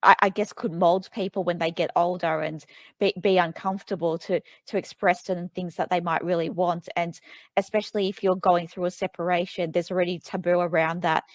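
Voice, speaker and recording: Woman author on an interview, lowish voice, thoughtful and a bit hesitant, lots of ums, dry sound. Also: steady tone, a little fast, dry recording. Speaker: author guest. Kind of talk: conversational interview.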